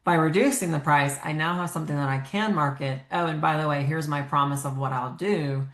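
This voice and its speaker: Deep-voiced woman host in echoey room. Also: brisk. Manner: conversational, thinking aloud with fillers. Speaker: podcast host. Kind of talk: podcast interview.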